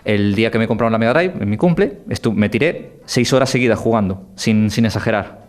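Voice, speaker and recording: A deep, smooth man from argentina on a radio gaming podcast, stops and restarts a lot, chatty and storytelling, faint music under it. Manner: halting, restarts, animated storytelling. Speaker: podcast guest, game music commentator. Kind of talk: casual radio podcast chat.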